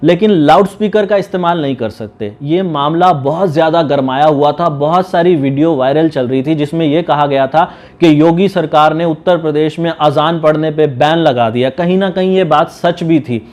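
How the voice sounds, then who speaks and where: A man speaks in a slightly high voice. He explains legal matters informally, like an advocate addressing viewers. His manner is didactic, and he often rephrases his key points. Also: moderate pace, hardly any pauses, darkish tone.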